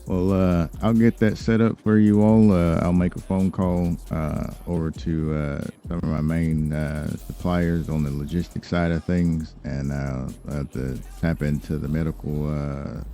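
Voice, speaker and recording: A man improvising as an obliging lawyer and crime boss in a role-play game, talking business politely and haltingly with many fillers. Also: rumbling low, fairly even intonation, mellow, faint music behind. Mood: businesslike, obliging. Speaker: streamer role-playing in a game. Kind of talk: improvised in-character business talk.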